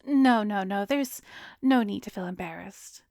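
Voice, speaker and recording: Actress as soothing female therapist for ASMR roleplay: mid-range voice, soft and measured, drifting into whispers, very dry close-mic sound. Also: medium pitch, no echo, natural voice. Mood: soothing, advising. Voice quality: soft, often slipping into breathy whisper. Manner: measured, gentle, professional, probing. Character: composed, soothing female therapist, secretly an arrogant vampire. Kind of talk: ASMR roleplay, addressed to the listener. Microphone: close studio microphone, dry.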